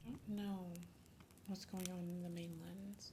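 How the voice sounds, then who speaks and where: A woman playing a guarded, loyal young noblewoman who rides a dragon, in a whispered, halting ASMR fantasy roleplay. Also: lowish voice, flat delivery. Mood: uneasy, guarded. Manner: hushed, halting, tentative, murmuring. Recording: loud ambient noise behind the voice. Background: campfire and night nature ambience.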